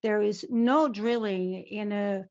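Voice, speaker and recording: A woman interpreting live on a corporate earnings call in a halting way, repeating and rephrasing words. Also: medium pitch, fairly even intonation, darkish tone. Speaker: conference interpreter. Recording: dull, lo-fi conference call audio.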